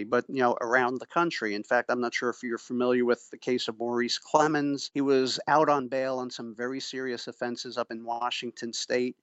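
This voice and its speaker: A middle-aged man giving measured, formal and emphatic explanations as an expert guest in an interview. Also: medium pitch, a bit bright, dry recording, lo-fi sound. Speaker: interviewee, pretrial services official and former prosecutor. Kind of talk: explanatory radio interview answers.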